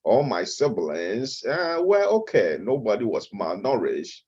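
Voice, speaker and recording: A middle-aged man with a Ghanaian accent, a guest expert answering interview questions slowly, with frequent hesitant fillers. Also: lowish voice, wildly swooping pitch, a little mellow, breathy edge, dull audio. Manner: measured and slow, with many hesitant "uh" fillers. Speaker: guest expert, nutrition professor.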